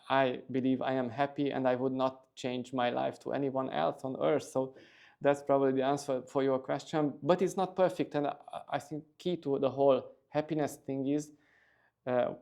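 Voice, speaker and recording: A man's voice: medium pitch, creaky, a little mellow. A middle-aged man, a company executive giving a casual interview, talking steadily with many fillers and restarts.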